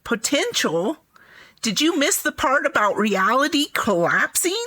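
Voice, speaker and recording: A woman's voice: sits high, big pitch swings, measured pace, sharp tone, full character voice. A woman playing an alarmed, principled scientist in an audio drama, urgent and emphatic. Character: alarmed, principled female scientist, firm and pleading.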